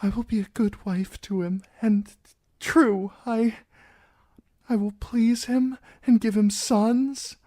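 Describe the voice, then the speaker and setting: A woman voicing a frightened young bride who pleads in a high, trembling, breathy voice. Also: fairly even intonation, takes it slowly, dark tone. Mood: anxious.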